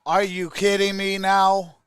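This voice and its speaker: A man's voice: sits high, level tone, unhurried, a bit bright, clean tone, no echo, clean studio sound. A man hosting a live fan stream, giving informal play-by-play and chatting casually with viewers. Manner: informal, greets viewers by name. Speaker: live-stream sports host.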